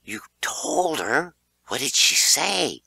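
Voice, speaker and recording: Guy doing a skeptical boy in a kids horror audiobook, bossy, swingy pitch, forceful. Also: big pitch swings, takes it slowly, creaky, dry recording, exaggerated voice, low-quality recording. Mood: incredulous. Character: young boy hero, confused and skeptical, bossy with friend.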